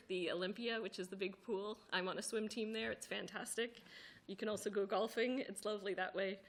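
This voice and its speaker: A woman's voice: mid-range voice, a bit bright. A woman speaking to a committee hearing, listing things in an upbeat, enthusiastic way. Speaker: committee witness. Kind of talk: formal testimony.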